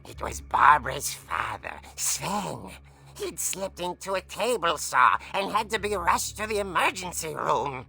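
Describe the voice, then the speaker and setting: An actor playing a gleefully macabre old horror-comic host, telling a tale in a hammy, drawn-out theatrical way in a video game. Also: mid-range voice, sing-song, vocal fry, bright, forceful tone, breathy edge, heavily acted. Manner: hammy, drawn-out dramatic delivery. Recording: music under the voice.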